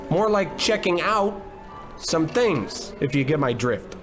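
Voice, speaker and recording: Male actor as hard-boiled radio detective, higher male pitch, deadpan, wisecracking, noir parody, faint music. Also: slow pace. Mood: deadpan questioning. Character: wisecracking, down-on-his-luck male private detective.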